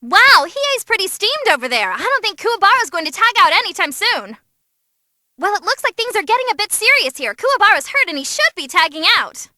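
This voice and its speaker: An actress voicing an excitable, cheeky young female ring announcer, giving animated, theatrical fight commentary in a video game. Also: very high-pitched, a little fast, no echo, clean studio sound, full character voice. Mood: cheeky commentating. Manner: perky, theatrical, wisecracking. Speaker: match commentator.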